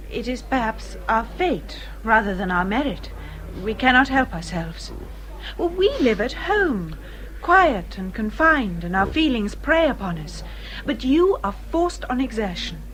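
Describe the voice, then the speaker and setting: An actress playing a gentle, earnest young English gentlewoman in a period radio drama, polite and thoughtful. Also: fairly high pitch, sing-song, measured pace, a little hiss or hum, low-quality recording. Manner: polite, soft-spoken, thoughtful.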